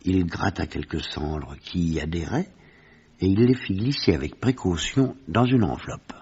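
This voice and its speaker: An actor playing a gentleman narrator who recounts a detective mystery in formal, vivid French radio storytelling with a husky voice. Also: sits low, moderate pace, muffled recording, heavily acted. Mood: narrating, suspenseful.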